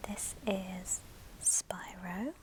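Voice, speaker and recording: A woman hosting an ASMR show and tell in a soft, breathy whisper, speaking gently in short phrases. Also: mid-range voice, steady tone, drawn-out words, a little hiss or hum, poor audio. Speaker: ASMR video host.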